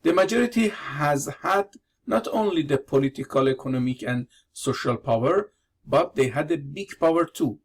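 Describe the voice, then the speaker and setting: Measured Iranian-born man lecturing. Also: a little high, gravelly, slightly rough. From Iran. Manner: measured, deliberate delivery in non-native English. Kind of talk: prepared lecture with slides.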